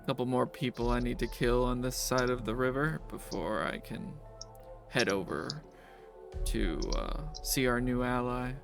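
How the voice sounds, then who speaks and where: Man playing a brusque, creaky warrior lord. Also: mid-range voice, fairly even intonation, unhurried, faint music behind. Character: brusque bloodthirsty lord, gruff casual warrior.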